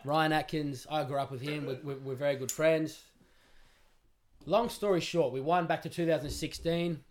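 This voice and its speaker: A man's voice: on the high side, fairly even intonation, moderate pace. A young Australian man on a podcast, telling a jokey story in casual chat into a studio mic. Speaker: podcast guest, footballer.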